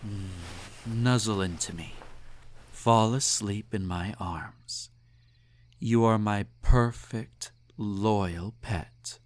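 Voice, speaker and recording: A man's voice: lowish voice, drawn-out words. A man playing a snobbish, possessive emperor in an intimate roleplay audio drama, with pompous, condescending, teasing speech and ornate formal phrasing. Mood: doting, controlling. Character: snobbish, possessive male emperor, indulgent and slightly tipsy.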